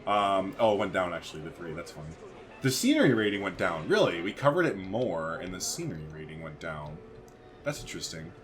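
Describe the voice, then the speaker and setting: A man's voice: deep voice, sing-song, fairly quick, soft background music. A man hosting a gaming video, giving casual, slangy let's play commentary and thinking aloud.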